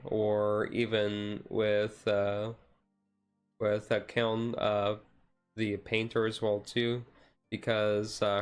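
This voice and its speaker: A man giving a casual, relaxed walkthrough in an ASMR-style stream, talking haltingly with frequent pauses mid-sentence. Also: lowish voice, smooth. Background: background music.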